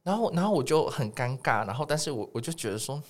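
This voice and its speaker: A man with a Taiwanese accent chatting casually as a guest music producer, telling things in an animated way and quoting people in dialogue. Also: medium pitch, quick pace. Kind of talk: casual podcast interview.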